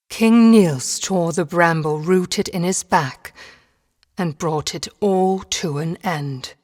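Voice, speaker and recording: A woman narrating a dark fairy tale for a game in a measured, solemn storybook manner. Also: lowish voice. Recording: long reverberant hall echo.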